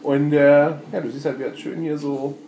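A man's voice: a little high, steady tone, dark tone, roomy sound, low-quality recording. Casual male gamer, faint noise behind. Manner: halting, colloquial. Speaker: tabletop role-playing player. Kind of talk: casual improvised game talk.

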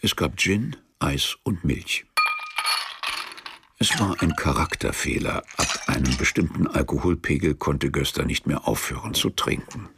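A man narrating a scripted literary radio drama as a wry storyteller, measured and deadpan. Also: very deep voice, vocal fry, background noise, exaggerated voice. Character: dry, wry storyteller of a travel tale.

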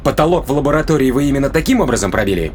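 A man's voice: medium pitch, very animated, very fast, a little hiss or hum, close to an everyday voice. An actor playing a skeptical, rational man who interrogates in a matter-of-fact, questioning way in an audio drama.

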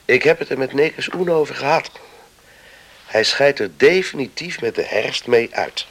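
A practical young farm man for a radio drama. He speaks emphatically in clipped phrases, bossy yet caring, with pitch that jumps around freely. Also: animated, normal speed, vocal fry, fairly bright tone. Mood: practical, earnest. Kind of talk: Dutch radio drama acting.

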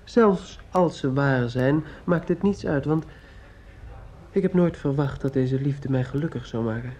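An actor playing an earnest, bitter young student in a Dutch radio drama, speaking formally and pointedly. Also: male voice, medium pitch, unhurried, dark tone, lightly acted. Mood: stoic, wounded. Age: young adult. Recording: dull, muffled old radio recording.

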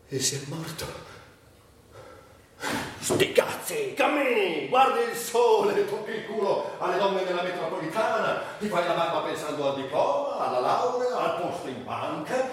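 An actor playing a troubled, bitter man in a volatile, haunted literary monologue for radio drama. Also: a little high. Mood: scornful, desperate. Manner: brooding, volatile, swinging between outbursts and murmurs. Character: troubled, bitter man recalling drug years, cynical and haunted. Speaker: stage actor interpreting a monologue. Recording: distant, very echoey, faint background noise.

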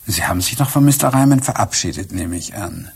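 An actor playing a calm, probing police inspector in a German radio crime drama, questioning deliberately and with restraint. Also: male voice, on the low side, gravelly, slightly rough, full character voice.

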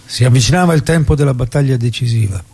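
A man's voice: mid-range voice, quick pace, gravelly, mellow, husky. A male actor as the solemn narrator of a war drama, giving scripted narration in spare phrases. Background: prominent guitar music.

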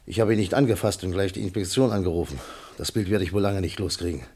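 An actor playing a sombre warehouse manager giving witness testimony in a 1960s German radio crime drama, subdued and deferential. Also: male voice, deep voice, fast talker, darkish tone, slight background noise, lightly acted. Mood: shaken, subdued.

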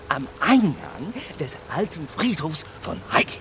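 High-voiced eccentric English lord. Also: male voice, very animated, slow pace, fairly bright tone, full character voice. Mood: teasing, sly. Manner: theatrical, teasing. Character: eccentric, tipsy male English aristocrat, mocking and theatrical. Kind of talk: radio drama dialogue. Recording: faint street and vehicle noise.

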